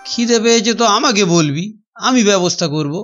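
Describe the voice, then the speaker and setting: A man's voice: high voice, animated, a little fast, a bit bright, soft background music. A man voicing a kindly but chiding village man in a cartoon, with a theatrical delivery.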